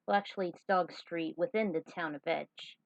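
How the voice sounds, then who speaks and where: A woman's voice: lowish voice, moderate pace. A woman casually narrating fan fiction aloud as a host, in an informal, chatty way, on a muffled recording. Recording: dull lo-fi recording.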